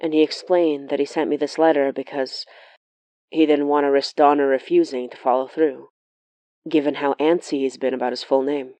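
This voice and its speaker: A woman's voice: low-pitched, steady tone, normal speed, mellow, no room sound, natural voice. A woman playing a wry, watchful sorcerer who narrates a horror audio diary in a confiding, matter-of-fact way.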